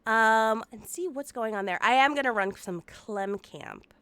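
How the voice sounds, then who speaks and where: A woman's voice: a little high, measured pace, fairly bright tone, clear, resonant tone, no echo. A woman streaming a game and chatting casually with her viewers, sounding nasal and sniffly from congestion. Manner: bubbly, playful, chatty with viewers.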